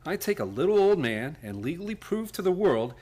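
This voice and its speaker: An actor playing a wry, good-humored lawyer in a live old-time radio play. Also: male voice, on the high side, very animated, fairly quick, darkish tone. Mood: wry, amused. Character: good-humored male lawyer, wry, tender romantic lead.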